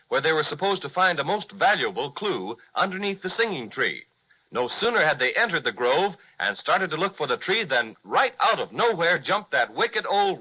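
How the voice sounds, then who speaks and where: A man narrates in old radio-serial style. His fairly high, piercing voice has a raspy edge and a dramatic storytelling delivery. The recording is dull and aged. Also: pushed and bright.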